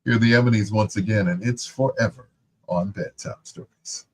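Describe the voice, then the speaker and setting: A man's voice: lowish voice, normal speed, a little hiss or hum. A man hosting a radio music show, chatting casually and telling playful personal anecdotes.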